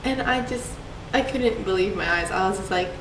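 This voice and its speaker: A woman's voice: medium pitch, normal speed. A young woman from Puerto Rico, a student telling personal stories for a class project in a chatty way, with many fillers. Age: young adult. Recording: echoey, distant mic, background noise.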